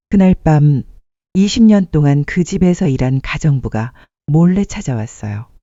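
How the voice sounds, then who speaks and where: Mellow, expressive story narrator. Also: mid-range voice, no echo, highs cut off. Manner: earnest storytelling with rhetorical questions. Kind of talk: scripted dramatic life-story narration.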